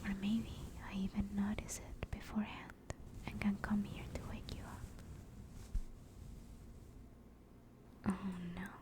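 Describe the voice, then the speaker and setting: A woman voicing a gentle female demon in a whispered ASMR comfort roleplay, speaking in a slow, soothing, reassuring way. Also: medium pitch, completely flat delivery, natural voice. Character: gentle, caring female sleep paralysis demon.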